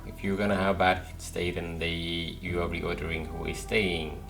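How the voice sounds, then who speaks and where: A man's voice: low-pitched, level tone, moderate pace, creaky, music in the background. A man commentating casually on a game as he plays, thinking aloud and repeating words to himself. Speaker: let's player.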